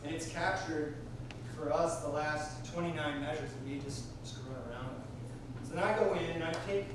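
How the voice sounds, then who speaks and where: A man's voice: on the high side, moderate pace, noisy background. A young man teaching music technology, explaining chords and gear while playing a pad controller in a live lecture hall. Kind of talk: live music production demo. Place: college lecture hall, indoors. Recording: echoey hall, distant mic. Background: synth music playing loudly.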